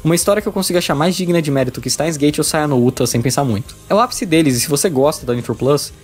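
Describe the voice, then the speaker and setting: Rapid Brazilian man narrating a review. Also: fairly high pitch, slightly rough. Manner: emphatic, opinionated. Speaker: video game reviewer. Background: background music.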